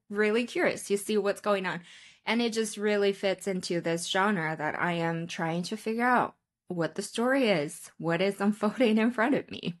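A woman in her thirties from China, chatting candidly and casually as an interview guest on a video call. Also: mid-range voice. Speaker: guest, photographer. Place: indoor room, remote video call.

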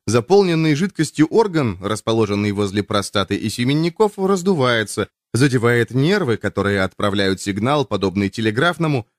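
A man reading a nonfiction audiobook in an expressive, measured, scripted narration style. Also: medium pitch, no room sound, studio quality.

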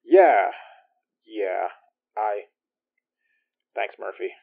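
A man's voice: lowish voice, lively intonation, very slow, gravelly, heavily acted. A man playing a terse investigator in a horror audio drama, with natural, everyday delivery. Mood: tense, terse. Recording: thin phone-line sound.

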